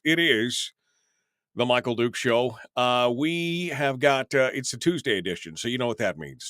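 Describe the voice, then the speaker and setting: A male talk radio host rambles through his show rundown with lots of fillers, jokey asides and self-deprecating remarks. His voice sits at an ordinary pitch and sounds close and dry, with no room around it. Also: fairly bright tone, smooth, dry recording. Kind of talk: casual live talk radio. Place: radio studio.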